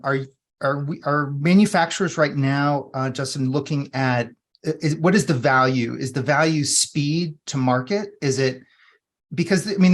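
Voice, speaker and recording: A man hosting an interview, asking long, rambling questions full of restarts and fillers. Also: a little high. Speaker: podcast host.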